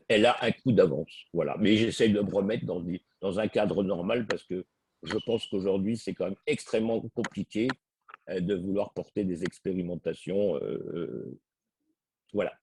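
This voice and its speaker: A man's voice: low-pitched, normal speed, a little mellow, a little hiss or hum. A man speaking as a guest in a webinar, hesitating and repeating words as he explains. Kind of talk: webinar presentation.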